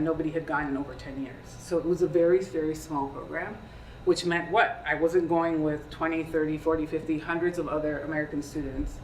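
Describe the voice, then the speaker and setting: A woman in her thirties, born in Peru, speaking on a career panel to students in a conversational way and often asking them questions. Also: deep voice. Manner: conversational, asks the audience questions. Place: lecture room. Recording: echoey room, distant mic, faint hiss.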